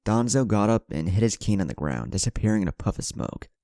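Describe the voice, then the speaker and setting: Deep-voiced man narrating fan fiction. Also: very animated, fast, creaky, dark tone, dry recording. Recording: music under the voice.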